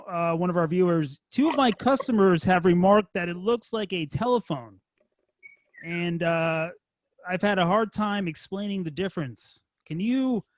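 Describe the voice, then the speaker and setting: A man's voice: high voice, no echo. A man moderating a webinar, speaking in a casual, informal and encouraging way.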